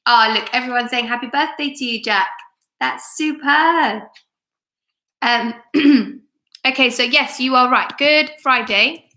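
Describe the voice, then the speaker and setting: A woman teacher hosting a chatty live story time for children, expressive and encouraging as she speaks directly to her viewers. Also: high-pitched, a little slow. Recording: echoey room.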